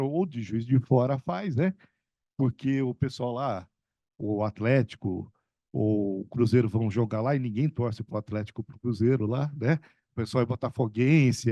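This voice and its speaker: A man's voice: medium pitch, dark tone, no room sound, muffled recording. A middle-aged man from São Paulo state, an economics professor speaking at a panel discussion in a rambling, conversational way with many fillers and restarts. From São Paulo state, Brazil.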